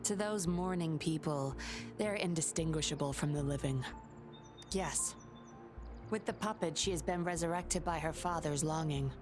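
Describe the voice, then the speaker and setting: A woman playing a composed, sly undercover patroller in a video game, coolly confident and measured. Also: low-pitched, lightly acted. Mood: serious, cool. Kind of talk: scripted video game voice acting. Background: game music.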